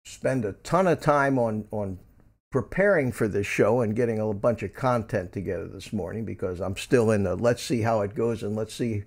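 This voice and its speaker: Older man in his seventies, gravelly, lowish voice, casual livestream host, halting chatty delivery. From Philadelphia, USA. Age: 70s. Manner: rambling, many ums and restarts; reads and answers viewer chat.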